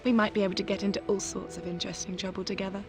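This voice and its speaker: An actress playing a flirtatious, carefree, glamorous socialite in a video game cutscene, with a breezy way of talking. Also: female voice, medium pitch, level tone, rapid-fire, gravelly, close to an everyday voice. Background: background music.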